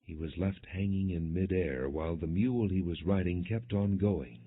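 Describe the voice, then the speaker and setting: A man reading scripture as an audiobook narrator, in measured, clearly enunciated storytelling without dramatization. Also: sits low. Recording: muffled, phone-like lo-fi audio.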